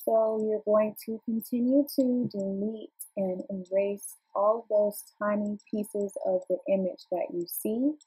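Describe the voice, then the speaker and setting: A woman guides viewers step by step through an editing task in a plain, instructional way. Her voice is mellow and a little husky, at a middle pitch. She sounds like she's recording at home, with the camera aimed at her screen. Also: poor audio. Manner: plain instructional, walks through clicks calmly. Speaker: software tutorial presenter. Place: home desk, filming a computer screen.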